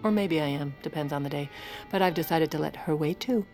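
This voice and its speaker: An actress playing a sardonic, steely, scheming woman in an audio drama, speaking in a dry, cutting, deliberate way. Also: low-pitched, mellow, faint music behind. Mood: wry, cold. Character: sardonic, steely woman scheming against her family's accusers. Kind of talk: audio fiction drama acting.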